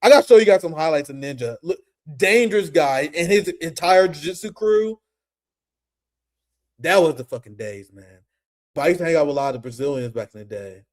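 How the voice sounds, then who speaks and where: A young man hosting a casual political commentary stream, animated and profane, laughing often. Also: fairly high pitch, brisk.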